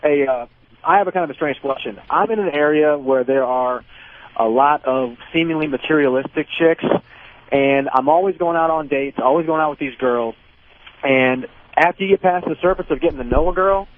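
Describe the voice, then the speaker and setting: Male phone-in caller, slightly high voice, polite and chatty, narrow tinny telephone sound. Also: moderate pace. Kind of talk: polite conversational question. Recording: thin, tinny phone line.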